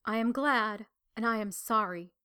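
A woman's voice: a little high, measured pace, sharp tone, clean tone. A woman voicing a lovelorn young weaving maiden of heaven in a storybook, plaintive and formal. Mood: grieving.

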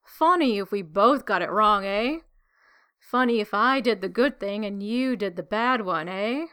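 Woman reader doing a sarcastic male demon, mocking, bright tone, lively swoops. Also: medium pitch, unhurried, clean tone, voice pushed up. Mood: musing. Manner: mocking, teasing questions. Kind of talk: audiobook reading.

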